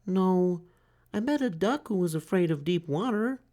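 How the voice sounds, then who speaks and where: High-pitched timid little boy turtle. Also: lively intonation, moderate pace, dark tone. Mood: earnest. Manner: polite, pleading.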